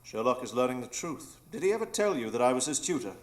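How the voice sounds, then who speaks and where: A man's voice: medium pitch, sing-song, fast talker, close to an everyday voice. A man as a grandiose, scheming old pirate villain. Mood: smug, sinister. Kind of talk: live radio drama acting.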